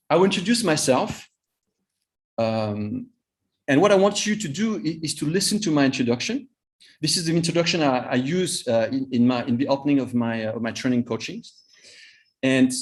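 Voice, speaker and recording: A man's voice: fairly high pitch, lively intonation, normal speed, long pauses. Hesitant French-accented man in his fifties. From Vietnam. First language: Vietnamese. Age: 50s. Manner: hesitant, often repeats and restarts words. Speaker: cross-cultural trainer and coach. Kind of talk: live online master class talk.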